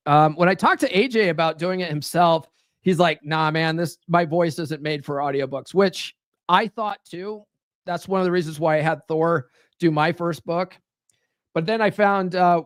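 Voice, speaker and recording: A man with a fairly high voice runs a podcast monologue in a loose, profane, rambling way. He leans on fillers and keeps tossing in "right?" to the listener. The sound is dry and close, with almost no room around it. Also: dry recording. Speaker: podcast host. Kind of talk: casual live-stream monologue.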